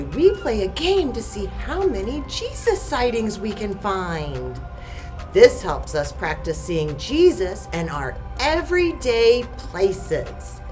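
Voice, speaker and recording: A woman hosting a children's story time, reading a picture book in an expressive, sing-song way and speaking to young children. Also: a little high. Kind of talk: animated children's picture-book read-aloud.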